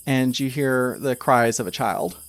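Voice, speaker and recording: A man improvising tabletop game narration as game master, telling the story in a halting, conversational way. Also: medium pitch, no echo.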